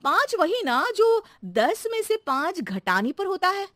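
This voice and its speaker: A woman's voice: very high voice, big pitch swings, measured pace, fairly bright tone, no room sound, studio quality, voice pushed up, exaggerated voice. A woman voicing a level-headed housewife who teases her maid with mock-serious logic, in a cartoon story. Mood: teasing. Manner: sly, mock-serious teasing.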